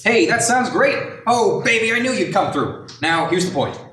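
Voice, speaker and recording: A man's voice: high-pitched, expressive, normal speed, bright, forceful tone, distant mic. A man in a radio play acting a brash, jovial wheeler-dealer, boisterous and slangy as he sells hard. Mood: pushy, enthusiastic. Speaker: actor in a youth group radio play. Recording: echoey room, off mic.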